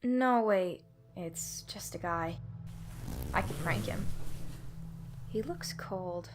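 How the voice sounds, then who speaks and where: A woman's voice: lowish voice, lively intonation, takes it slowly, background noise. A woman voicing a curious, chatty, playful young girl ghost in a fan comic dub. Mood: hesitant, curious. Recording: music behind the voice.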